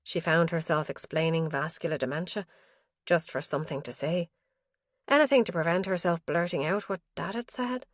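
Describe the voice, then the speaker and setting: A woman narrating an audiobook thriller in a steady, measured way. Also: on the low side, no room sound, studio quality. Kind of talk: scripted narration.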